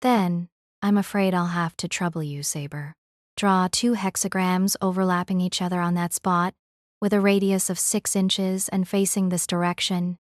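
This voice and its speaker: A woman playing a gentle, composed noble lady in an audio drama, speaking politely and reassuringly. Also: fairly low pitch, level tone, normal speed, no echo, clean studio sound, lightly acted. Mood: gently instructing.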